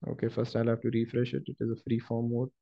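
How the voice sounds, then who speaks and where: Guy doing a step by step software walkthrough, kinda halting, mid pitch, dull lo-fi audio. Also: monotone, vocal fry. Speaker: software trainer. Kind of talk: screen-share tutorial, explained step by step. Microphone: screen-recording voice-over. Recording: dull lo-fi voice recording.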